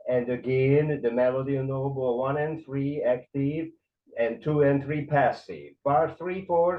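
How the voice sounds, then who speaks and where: A man with a Hungarian accent teaching conducting, explaining the beats and sometimes counting or singing the rhythms aloud. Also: medium pitch. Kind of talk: explanatory music lesson. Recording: dull lo-fi sound.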